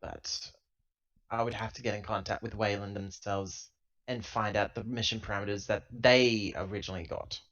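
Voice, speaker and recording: A man's voice: lowish voice, fairly even intonation, moderate pace, fairly bright tone, close to an everyday voice. A man playing a female sci-fi crew member in a tabletop game, explaining terms hesitantly. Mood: resigned, explaining. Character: Drake, female crew member minding the contract. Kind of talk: improvised tabletop roleplay dialogue. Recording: dull lo-fi laptop recording.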